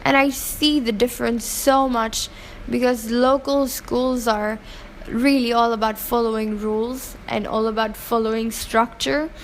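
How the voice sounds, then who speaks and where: A woman's voice: fairly high pitch, fairly even intonation, unhurried, slight background noise, poor audio. A woman from Pakistan who grew up in Hong Kong, giving earnest, opinionated interview answers with fillers and restarts. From Pakistan, raised in Hong Kong.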